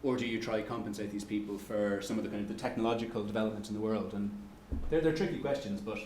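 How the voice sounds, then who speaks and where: Irish guy lecturing fast, lowish voice, lots of um and restarts, echoey room. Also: darkish tone, slight background noise. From Ireland. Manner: halting, many restarts and fillers. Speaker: economics lecturer. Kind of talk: unscripted lecture Q&A answers.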